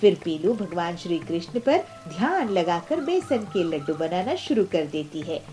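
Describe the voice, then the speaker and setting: A woman's voice: fairly low pitch, sing-song, moderate pace, mellow, faint music behind. A woman narrating a scripted children's story.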